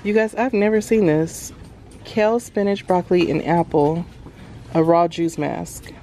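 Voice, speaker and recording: A woman's voice: fairly low pitch, fairly even intonation, unhurried, warm tone, a little hiss or hum, poor audio. A woman in her thirties vlogging casually, talking directly and familiarly to her viewers. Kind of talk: casual chatty vlog. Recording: music behind the voice. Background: background music.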